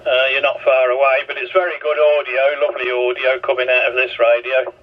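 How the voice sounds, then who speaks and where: A man's voice: medium pitch, flat delivery, a little fast. Man over a muffled two-way radio. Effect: two-way radio transmission. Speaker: radio hobbyist. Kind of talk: casual two-way radio chat. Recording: distant, muffled, faintly noisy radio audio.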